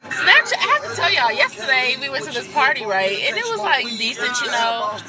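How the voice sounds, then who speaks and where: A woman's voice: high-pitched, sing-song, brisk, crowd noise. A young woman vlogging about a college visit in a chatty way, filled with "like," filmed on a camera held at arm's length in a car and dorm rooms. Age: young adult. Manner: chatty, fills talk with "like". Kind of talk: casual vlog chat. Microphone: handheld camera at arm's length. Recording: loud music behind.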